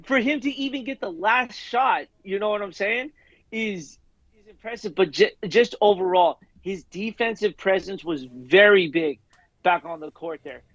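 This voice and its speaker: A man's voice: high-pitched, normal speed, fairly bright tone, dry recording, dull audio. A man co-hosting a casual sports talk show. He speaks in a laid-back way, with easy slang and repeated words. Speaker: co-host. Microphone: video call.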